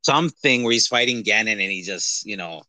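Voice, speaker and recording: A man co-hosting a podcast, chatting casually about films and games in an animated, rambling, profane way. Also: on the low side, fairly quick, no room sound. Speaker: podcast co-host.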